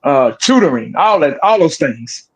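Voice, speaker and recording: A man's voice: fairly high pitch, very animated, measured pace, husky. A man, a sports video creator talking candidly in a casual podcast chat, profane and rambling with restarts. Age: 40s.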